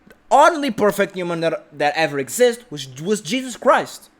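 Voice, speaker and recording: A man's voice: sits high, very animated, normal speed, a bit bright. A man sitting on his sofa at home, delivering an unedited vlog monologue in a brash, self-assured way, rambling with restarts and the odd swear word. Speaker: vlog host.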